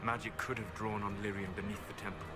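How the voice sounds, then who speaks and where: An actor playing a grave, scholarly male mage in a fantasy game, explaining things in a calm, measured way. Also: on the low side, lightly acted. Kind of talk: dramatic fantasy game acting. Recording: music behind the voice.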